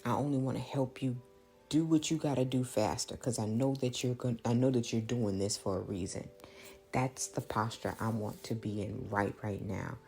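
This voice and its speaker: A woman from the American South speaks in a very deep register with plenty of gravelly fry and a mellow, dark tone. Her carries an earnest, reflective monologue that slips into prayer. She halts and repeats phrases as she thinks out loud. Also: normal speed, faint music behind. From small-town Louisiana, United States. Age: late 30s. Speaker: podcast host.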